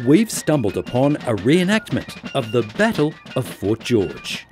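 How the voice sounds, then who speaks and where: A man's voice: mid-range voice, huge pitch swings, measured pace, over music. Upbeat man narrating travel. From Australia. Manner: upbeat, playful wordplay. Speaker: travel show host.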